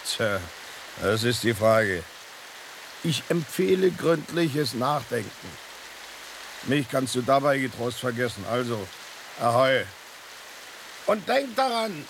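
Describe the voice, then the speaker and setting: An actor playing a worldly, philosophical stray tomcat in a radio drama, with a sardonic, dismissive drawl. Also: male voice, fairly high pitch, lively intonation, slow, gravelly, long pauses, full character voice, poor audio. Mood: curt, sardonic. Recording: constant rain-like hiss behind the voice.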